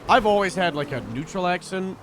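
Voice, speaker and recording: A man's voice: sits high, fairly quick, noisy background. A young man from North Wales chatting casually to his stream while playing a roleplay game, rambling with little self-corrections.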